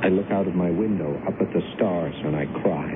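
A noisy, narrow old radio recording carries the low voice of a lonely alien man in disguise played by a male actor. He is earnest and plaintive in a dramatic radio-play style, and turns halting when flustered. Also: natural voice. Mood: lonely, pleading. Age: 30s. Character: homesick alien man disguised as an American, ageless thirty.

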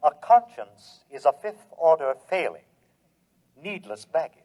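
An actor in a 1950s radio drama playing a logical robot invader from outer space, speaking formally and without emotion. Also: male voice, on the high side, sing-song, unhurried. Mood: cold, detached. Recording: dull vintage radio recording.

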